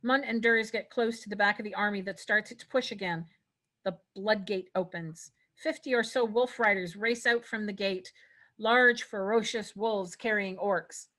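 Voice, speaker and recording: A woman's voice: medium pitch, moderate pace, a bit bright. A woman narrating stage directions at a scripted table read, occasionally stumbling over words.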